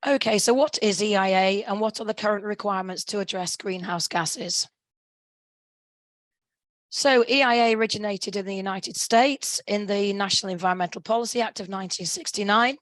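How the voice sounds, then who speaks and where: A woman in her fifties presenting a webinar lecture, croaky as she is losing her voice, speaking in a measured way with hesitations and throat clearing. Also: medium pitch, no room sound. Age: 50s.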